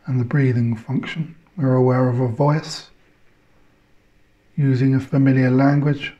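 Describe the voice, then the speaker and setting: A man guides a meditation with a very slow delivery and a soft-edged, mellow tone. He speaks in short, measured phrases separated by long pauses. The recording sounds slightly dull and muffled. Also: medium pitch, little pitch movement.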